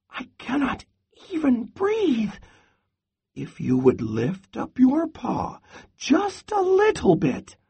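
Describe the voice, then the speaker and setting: Little young squirrel for a storybook: very high, piping, cheeky, swooping sing-song delivery. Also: male voice, vocal fry, mellow, slightly rough, full character voice. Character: small chirpy young male squirrel, gleeful and teasing.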